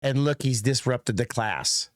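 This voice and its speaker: A man's voice: mid-range voice, a little slow, music in the background. A middle-aged American man giving casual, rambling commentary as host of a reaction video, with many fillers and restarts. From United States.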